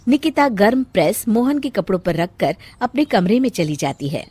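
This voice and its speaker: A woman's voice: mid-range voice, sing-song, normal speed, darkish tone, breathy edge, no echo. A woman narrating a cartoon story from a script.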